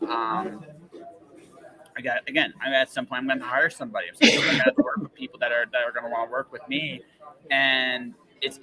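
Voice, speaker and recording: A man hosts a casual interview in a mid-range voice. He is excitable and tends to circle back and rephrase his phrases. Clear chatter like a crowd sits behind him. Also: medium pitch, low-quality recording. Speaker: podcast host interviewing a guest.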